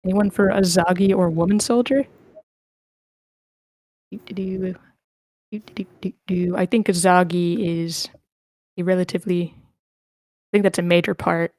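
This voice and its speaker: A woman's voice: fairly low pitch, fairly even intonation, measured pace, pauses often, darkish tone, no echo. A woman hosting an online table read, talking casually and informally as she organizes the cast. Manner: informal, chatty. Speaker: host of online script table reads.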